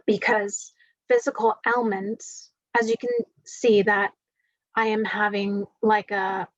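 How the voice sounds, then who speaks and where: Slow, groggy-voiced woman reader. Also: mid-range voice, steady tone, no echo, lo-fi sound. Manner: slow, meandering, many fillers. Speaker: spiritual reader. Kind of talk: spiritual forecast talk.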